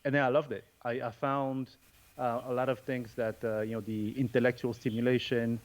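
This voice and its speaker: A man's voice: medium pitch, little pitch movement, fairly quick. A man from France, a podcast guest talking casually over a remote call, with lots of fillers and restarts. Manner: hesitant, many fillers and restarts, laughs often. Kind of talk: casual interview chat. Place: home in Bali.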